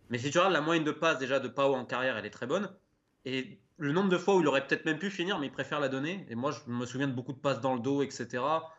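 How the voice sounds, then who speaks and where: A man's voice: medium pitch, fairly bright tone, lo-fi sound. A man, a guest journalist, giving a direct, opinionated take on a player. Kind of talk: studio sports debate.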